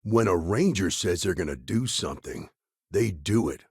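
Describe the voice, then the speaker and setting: A level-headed frontier ranger for a video game. His voice sits on the low side with plenty of gravel, recorded close and dry. He is firm and plain-spoken, a weary lawman who stands by his duty. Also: lively intonation, moderate pace, dry recording, exaggerated voice. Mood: matter-of-fact. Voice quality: gravelly, husky. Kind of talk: game character dialogue lines.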